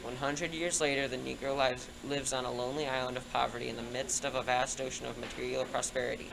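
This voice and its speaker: Fast, creaky young male speech reader. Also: medium pitch, little pitch movement, background noise. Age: teenager. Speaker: student reader. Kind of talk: reading a speech aloud.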